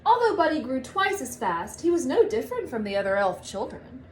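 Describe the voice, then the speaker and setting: A woman reading a story aloud to children like a teacher, with animated, drawn-out storybook phrasing. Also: sits high, slight background noise. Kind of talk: expressive read-aloud storytelling.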